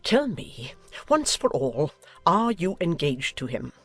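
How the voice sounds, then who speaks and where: A woman's voice: low-pitched, sing-song, slow pace, fairly bright tone, dry recording, faint music behind, heavily acted. Woman playing a haughty, imperious older aristocratic lady, close and dry. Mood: demanding. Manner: imperious, declamatory, emphatic. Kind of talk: dramatized audiobook acting.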